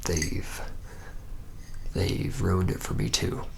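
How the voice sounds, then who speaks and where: Reluctant young male vampire, acted by a man, ASMR roleplay. Low, very slow, whispery, wry and halting. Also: slight background noise, full character voice. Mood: weary, disillusioned. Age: young adult. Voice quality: breathy whisper with gravelly creak. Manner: hesitant, halting, wry and deadpan. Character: reluctant, jaded young male vampire, former warehouse worker.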